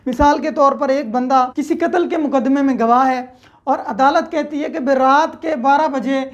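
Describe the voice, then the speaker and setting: A woman's voice: high voice, moderate pace, muffled recording. A woman with a Pakistani accent giving an explanatory lecture, methodically repeating key points and mixing in English legal terms. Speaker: law lecturer. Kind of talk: explanatory lecture with everyday examples.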